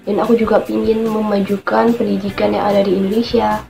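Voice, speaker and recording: A woman's voice: mid-range voice, flat delivery, brisk, creaky, music in the background. A twenty-one-year-old woman speaking earnestly, stumbling slightly, as a former intern. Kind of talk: formal testimonial.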